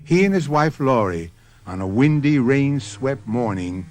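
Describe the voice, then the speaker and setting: A man hosts a radio mystery show and narrates it in slow, measured, dramatic phrases. His pitch sits at a middle level and moves widely. He lingers on each word. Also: very animated. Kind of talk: scripted narration.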